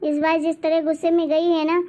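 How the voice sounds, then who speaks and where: A woman's voice: very high voice, monotone, a little fast, dark tone. A woman voicing an anxious, complaining older mother-in-law in a cartoon family drama, with a fretful, nagging manner. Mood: worried.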